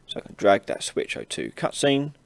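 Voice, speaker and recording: A man hosting an informal software tutorial, casually explaining each step as he demonstrates it on a close mic. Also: lowish voice, wildly swooping pitch, normal speed, vocal fry, no room sound. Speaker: tutorial host. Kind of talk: informal screen-recorded software tutorial narration.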